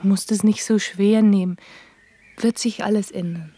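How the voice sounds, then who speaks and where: An actress playing a determined housemaid and organiser in a German radio play, prompting others in a matter-of-fact way. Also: female voice, mid-range voice, steady tone, unhurried, mellow, husky. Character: Elise, determined housemaid organising servants' meetings.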